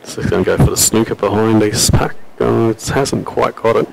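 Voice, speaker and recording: Gravelly low-voiced Australian pool commentator. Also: male voice, brisk, noisy background. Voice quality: robust loud voice. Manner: relaxed, chatty, frequent fillers and coughs. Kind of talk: live sports commentary. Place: venue at a pool championship.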